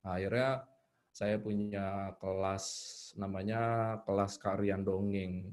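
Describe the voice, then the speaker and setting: Low-voiced man in casual online talk. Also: flat delivery, slow pace, a little mellow. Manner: informal, hesitant, searching for words. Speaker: guest storyteller sharing tips. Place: online live video call.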